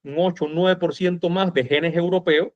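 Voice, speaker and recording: A man's voice: high-pitched, fairly even intonation, normal speed. A man with a Cuban accent talking about history in an informal, emphatic and colloquial way, on a slightly dull recording. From Cuba. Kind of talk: animated informal history discussion.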